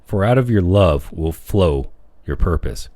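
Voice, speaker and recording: A man reading a self-help audiobook as scripted narration, with measured, declamatory phrasing. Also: deep voice, lively intonation, warm tone, dry recording. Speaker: audiobook reader.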